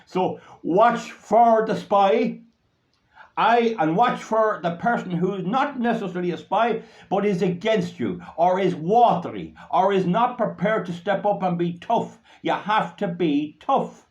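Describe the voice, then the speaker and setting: A man's voice: high-pitched, lively intonation, a little slow. An Irish man giving a blunt, rambling political talk to supporters. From Ireland. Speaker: political activist. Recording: dull lo-fi recording.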